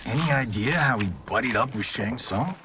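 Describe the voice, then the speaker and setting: A man's voice: medium pitch, animated, moderate pace, background noise, close to an everyday voice. An actor playing a wry, streetwise male hero in a video game, talking casually. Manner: wry, colloquial.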